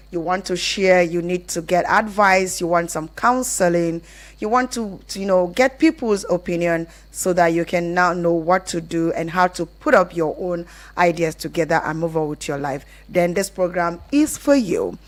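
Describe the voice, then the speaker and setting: A Nigerian woman with a fairly low voice hosts a radio advice show. She speaks conversationally, explaining and rephrasing as she addresses listeners and callers. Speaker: radio call-in show host.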